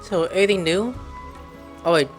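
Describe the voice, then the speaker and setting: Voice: medium pitch, normal speed, faint music behind. A female-sounding game streamer giving crude, jokey, casual commentary on a playthrough. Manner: crude, swearing, jokey, dramatic outbursts. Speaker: gaming video host.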